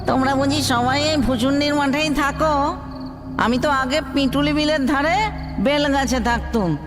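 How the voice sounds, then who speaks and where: A man playing a sentimental, chatty village ghost in a comic audio drama, sighing wistfully over his words. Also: very high voice. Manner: sighing exclamations, sentimental, drawn-out. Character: lovestruck male village ghost, newly dead, wistful and chatty. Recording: long hall reverb under music.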